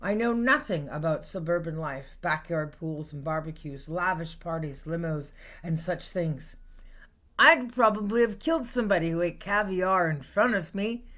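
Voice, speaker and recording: A woman with a Canadian accent reading her own spoken-word poetry in an earnest, deliberate, dramatic way, in short phrases with pauses. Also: lowish voice, animated, a bit bright. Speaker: poet reading own work.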